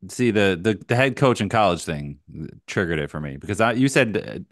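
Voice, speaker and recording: Deep-voiced man thinking out loud. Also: lively intonation, brisk, dry recording, studio quality. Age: 30s. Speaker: podcast co-host. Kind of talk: casual podcast chat.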